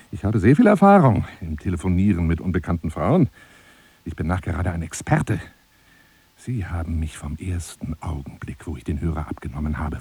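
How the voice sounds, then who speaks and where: An actor playing an obsessive, grandiose telephone seducer in a radio drama, husky and breathy, florid and formal, wounded and menacing. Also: male voice, deep voice, warm tone, no echo, heavily acted. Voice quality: husky, breathy, often dropping to a whisper. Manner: theatrical, florid, formal address, swinging from pleading to scornful.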